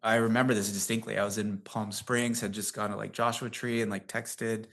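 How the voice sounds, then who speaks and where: A man's voice: lowish voice, little pitch movement, brisk, fairly bright tone. A man in his fifties without an accent, a guest telling long anecdotes in a casual interview, full of fillers like "like" and "um". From United States. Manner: chatty, rambling anecdotes with frequent fillers and laughs. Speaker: guest, tech company CEO.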